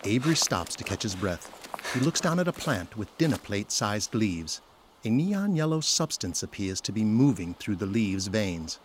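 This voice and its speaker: A man reading scripted narration for an audio drama in a measured, descriptive storytelling manner. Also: lowish voice, sing-song, slight background noise. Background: prominent music.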